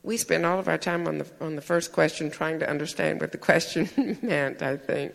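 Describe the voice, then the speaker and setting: A man's voice: a little high, normal speed, vocal fry, fairly bright tone, big-room sound. A man reporting his table's discussion to a church group, earnest and searching for words. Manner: earnest, searching, restarts often.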